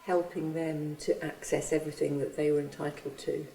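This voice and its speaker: A woman earnestly recounting her experience of hosting, pausing and restarting as she goes. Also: deep voice, little pitch movement, gravelly, dark tone. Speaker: church member and refugee host. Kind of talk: reflective testimony. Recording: roomy, from a distance.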